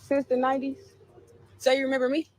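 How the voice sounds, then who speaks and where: A woman's voice: sits high, monotone, a little fast, darkish tone, slight background noise. A woman in a played clip, talking casually to the camera in a defiant, preachy manner about faith and spirits.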